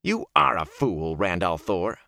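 Man acting fierce young wise woman, lifted pitch, scolding, bossy, sharp-tongued, fantasy storybook. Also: sing-song, moderate pace, no room sound, clean studio sound. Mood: angry, scolding.

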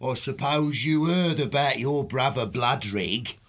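A man voicing a brutal, gloating sea rat pirate king in a storybook, with a gravelly, forceful voice and salty drawling speech. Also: mid-range voice, a little slow, pitched above the performer's own voice. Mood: gloating, taunting.

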